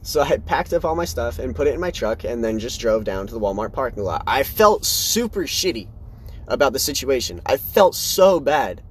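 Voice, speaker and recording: A man's voice: moderate pace, slightly rough. Young man rambling candidly on his podcast. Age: 20s. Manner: rambling, candid, animated swings in pitch. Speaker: podcast host. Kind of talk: casual solo podcast monologue.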